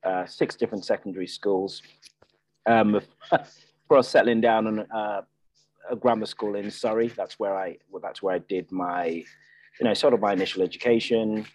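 Low-voiced hesitant man guest. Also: fairly even intonation, moderate pace, dry recording, low-quality recording. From Nigeria, Ireland and the UK. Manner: hesitant, many restarts and fillers. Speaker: podcast guest. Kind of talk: casual interview chat.

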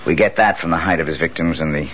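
An actor playing an eager, talkative crime reporter in a 1970s radio drama. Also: male voice, extremely low pitch, fast talker, fairly bright tone. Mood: keen, confident. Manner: talkative, hesitant, emphatic. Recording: dull, noisy 1970s radio recording.

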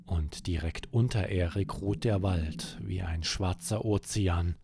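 Slow, low-voiced male bedtime storyteller. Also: gravelly, warm tone, husky, no room sound, clean studio sound. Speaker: podcast host and storyteller. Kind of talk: scripted bedtime story narration for children.

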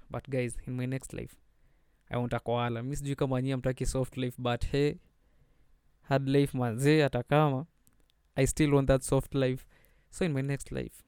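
A man's voice: mid-range voice, a little mellow, clear, resonant tone, no echo, studio quality. A young Kenyan man hosting a podcast, chatting casually and switching between English and Swahili slang. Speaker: podcast host. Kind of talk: casual solo podcast chat.

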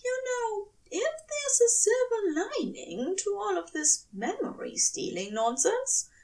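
A woman's voice: extremely high pitch, very animated, slow, a little mellow, distant mic, voice pushed up, exaggerated voice. A woman voicing a tender, prim male angel lover in an audio fan fiction, earnest and coyly hesitant in phrasing. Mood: tender, wistful.

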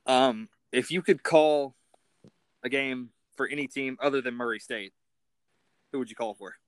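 A man with a medium-pitched voice hosts a casual interview. His way of talking is informal and self-deprecating, and the sound is very dry, with no room echo. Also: moderate pace, clear, resonant tone. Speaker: interview host.

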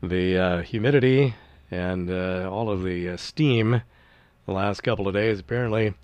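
A middle-aged man hosting a local radio call-in show in a studio, hoarse and raspy from congested sinuses, talking in an easygoing, chatty way. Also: deep voice, normal speed, darkish tone, no room sound, highs cut off. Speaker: radio host. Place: small-town radio studio. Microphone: studio microphone.

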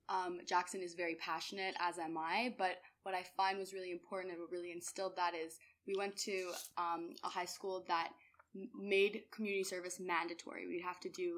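A woman's voice: on the low side, fairly bright tone. A young adult woman giving earnest, conversational answers as a podcast guest. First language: bilingual in French. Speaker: podcast guest, youth volunteer. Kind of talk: casual interview answers.

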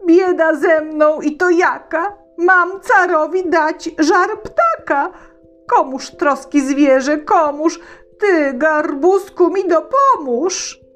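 A woman voicing a frightened young peasant man who begs and argues in a high, strained voice. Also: big pitch swings, takes it slowly, soft background music, full character voice. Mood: pleading. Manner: high, pleading, tearful.

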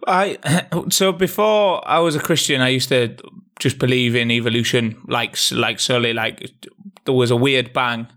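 A man in casual podcast talk tells a story with a rambling, restarting flow and laughs often. His voice sits at an ordinary middle pitch. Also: normal speed, fairly bright tone. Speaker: podcast co-host. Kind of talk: casual chat.

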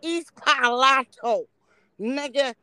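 High, bright-voiced woman in her thirties. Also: slow pace, smooth, dry recording, rough recording. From San Francisco Bay Area, California. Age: 30s. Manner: loud, blunt, profane storytelling. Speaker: podcast guest. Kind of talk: casual chat.

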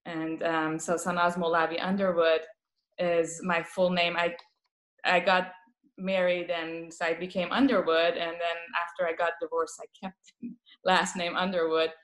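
A woman's voice: fairly low pitch, little pitch movement, a little slow, fairly bright tone, echoey room, low-quality recording. A woman from Iran with a Persian accent, speaking as a palliative care guest and telling stories with laughs. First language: Farsi. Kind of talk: casual interview talk.

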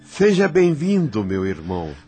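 A man playing a devout, calm spiritist healer in a radio drama, speaking solemnly and prayerfully. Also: mid-range voice, unhurried, husky, heavily acted. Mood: welcoming.

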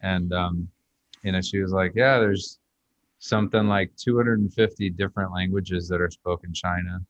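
A man's voice: low voice, steady tone, normal speed, no echo, dull audio. A man hosting a casual conversation, telling stories in a chatty way with fillers.

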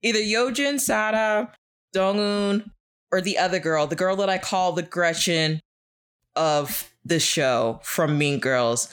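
A woman with a lowish voice gives her take on a TV show in an animated, opinionated way. She fills her talk with asides and "like"s. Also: slow pace, smooth. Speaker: podcast co-host. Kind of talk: casual chat reviewing a TV show.

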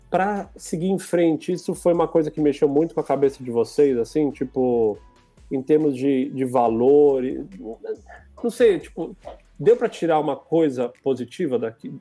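Man in his thirties, host, laid-back rambling talk, mellow voice, faint music under it. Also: medium pitch. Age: 30s. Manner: relaxed, rambling, many fillers. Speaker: interviewer, father. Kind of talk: casual interview chat.

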